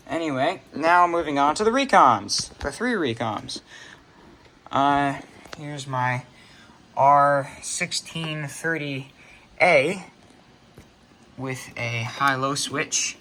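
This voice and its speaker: Bright-voiced man, halting and offhand. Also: mid-range voice, lively intonation, slight background noise. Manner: halting, offhand, self-interrupting. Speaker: hobbyist video creator. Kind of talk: casual unscripted show-and-tell of a collection.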